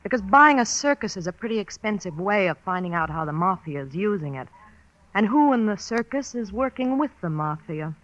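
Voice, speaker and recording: An actress playing a tough, streetwise young circus fortune teller in an old radio drama, curt and slangy. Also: female voice, mid-range voice, very animated, brisk, a bit bright, poor audio. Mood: hard-boiled, shrewd. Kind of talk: 1940s radio drama acting.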